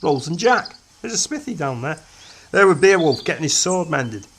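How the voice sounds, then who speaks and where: A man's voice: a little high, very animated, normal speed, fairly bright tone, slight background noise. A reader voicing a blunt, dry-humoured male time-traveller who talks in a casual, clipped way.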